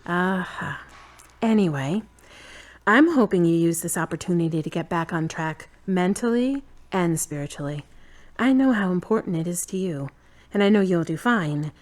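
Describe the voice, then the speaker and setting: A woman's voice: fairly low pitch, animated, normal speed, lightly acted. A woman playing a frank, caring friend with a conversational, matter-of-fact way of talking, in an audio drama. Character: frank, caring woman, tired but gently teasing. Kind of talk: hobby audio drama acting.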